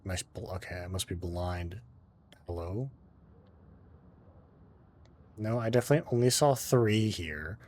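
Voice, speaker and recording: A man's voice: low-pitched, measured pace, long pauses, a little mellow, slight background noise. Rambling man narrating his gameplay. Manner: rambling, talks to himself, self-mocking; trails into mumbling and humming while playing. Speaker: gaming channel host. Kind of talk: casual gameplay commentary.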